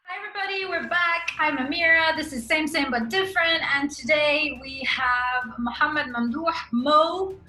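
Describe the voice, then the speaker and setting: A young woman hosting a casual interview show, upbeat and quick with short encouraging replies. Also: sits high, noisy background. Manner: upbeat, brief encouraging backchannels. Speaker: host. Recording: echoey room with music.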